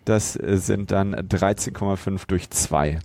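A man co-hosts a casual podcast. He speaks in a fairly low voice, hesitant and deliberating, with a dry, close sound. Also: a little slow, a little mellow, no room sound. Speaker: podcast co-host.

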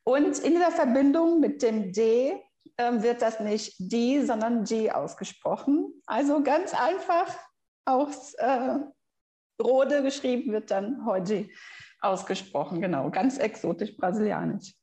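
A woman's voice: fairly high pitch, lively intonation, a little slow, warm tone, echoey room. A woman from Brazil with a Brazilian Portuguese accent, a podcast guest patiently explaining pronunciation. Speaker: guest researcher. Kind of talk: podcast interview.

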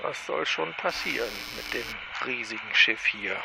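A man's voice: medium pitch, expressive, a little slow, vocal fry, heavy background noise. An actor playing a chatty, bumbling male time agent who has gone on holiday, talking jovially to himself. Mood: leisurely. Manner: chatty, self-pitying, jovial.

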